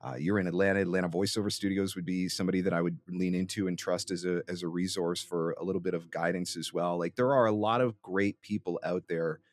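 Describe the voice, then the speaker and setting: A man's voice: deep voice, fairly even intonation, fast, hardly any pauses, no room sound. A man hosting an interview as a voiceover coach, giving conversational advice in an emphatic, explanatory and encouraging way.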